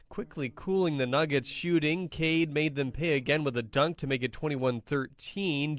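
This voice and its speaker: A man's voice: medium pitch, fairly bright tone, no room sound. A man hosting a radio halftime show, recapping the basketball game in sports commentary style.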